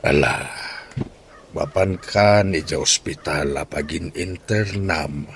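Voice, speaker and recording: An actor playing a frail, fond old grandfather in an Ilocano radio drama, speaking slowly and wearily. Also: rumbling low, a little hiss or hum, exaggerated voice. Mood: weary, fond. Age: older. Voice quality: gravelly, slow.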